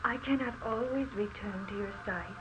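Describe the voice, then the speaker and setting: An actress playing the gentle, loving ghost of a young woman, speaking in a measured, tender way in an old radio drama. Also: fairly high pitch, noisy background, natural voice. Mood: serene, tender. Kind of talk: 1940s radio drama acting. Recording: muffled old radio recording.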